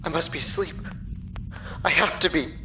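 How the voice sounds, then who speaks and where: A woman playing a terrified, disoriented victim trapped in darkness, halting and pleading, in a horror audio drama. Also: low-pitched, pushed and bright, a little hiss or hum. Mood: dazed, afraid.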